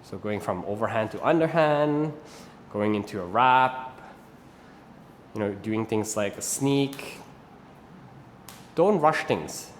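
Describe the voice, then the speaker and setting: A man's voice: fairly low pitch, expressive, takes it slowly, pauses often, slight background noise. Malaysian man teaching in a reverberant room. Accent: Malaysian English. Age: 30s. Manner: patient, explanatory, often ends points with "right?". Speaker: rope flow instructor. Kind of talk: instructional video talk.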